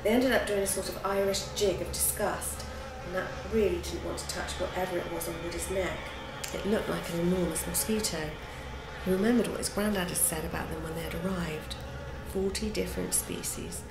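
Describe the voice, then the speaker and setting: A woman author narrating a chapter from her own children's book in an expressive, lively reading style. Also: lowish voice, a little fast, creaky. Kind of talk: storybook narration. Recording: roomy with background noise.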